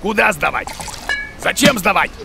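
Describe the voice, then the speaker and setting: An actor voicing a boisterous, foolish bearded monster teacher in a children's cartoon, theatrical and full of exclamations. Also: male voice, high voice, animated, moderate pace, creaky, noisy background, heavily acted. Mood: bossy, stubborn. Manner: exclamatory, theatrical, repeats words, chuckles.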